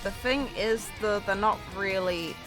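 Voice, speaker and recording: A woman's voice: mid-range voice, measured pace, music in the background. A woman giving casual, conversational video game reaction commentary, musing aloud as she goes.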